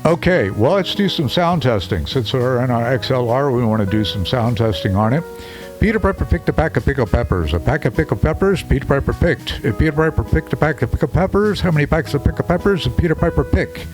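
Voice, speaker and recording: Chatty deep-voiced man reviewing a mic. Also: lively intonation, brisk, music in the background. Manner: chatty, loose, with frequent fillers. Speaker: microphone reviewer. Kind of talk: casual product review to camera. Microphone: studio microphone under test.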